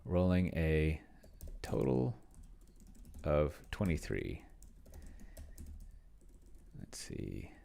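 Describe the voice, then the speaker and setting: A man's voice: rumbling low, huge pitch swings, very slow, creaky, pauses often, dark tone. A man thinking aloud in casual commentary as he plays a roleplaying game, often muttering, trailing off and drawing words out while typing. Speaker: solo tabletop roleplayer and video host. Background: computer keyboard typing.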